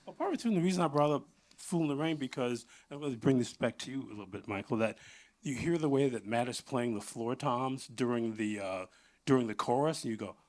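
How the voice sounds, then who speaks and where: A man's voice: mid-range voice, fast, a little mellow. Male panel moderator, mid-range voice, conversational interviewing style in front of guests.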